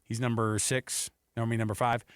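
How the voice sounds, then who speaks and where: A man's voice: medium pitch, flat delivery, fairly quick, smooth, dry recording, clean studio sound. A man hosting a sports radio show with casual, teasing banter. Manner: playful, teasing.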